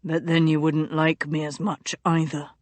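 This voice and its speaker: A feverish, delirious young duke murmurs in a voice pitched well below hers, as a woman narrator puts him on. He speaks slowly in a quiet hush that drifts in and out of sense, as if half asleep. The reading is close and dry, in a storybook manner. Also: little pitch movement, no room sound. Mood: quietly lucid. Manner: low hushed murmuring, drifting in and out.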